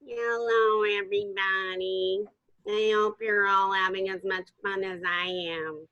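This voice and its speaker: A woman voicing a dour cartoon dog master of ceremonies, glum and deadpan, in an amateur table read. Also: mid-range voice, takes it slowly, lo-fi sound.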